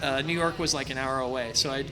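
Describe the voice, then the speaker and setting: A man in his fifties from New Jersey, telling his life story in a casual interview. He talks in a loud, brash, self-mocking way with lots of restarts. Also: medium pitch, fairly even intonation, rough recording. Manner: self-described loud and brash, halting with many restarts, self-deprecating humor. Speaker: interview guest.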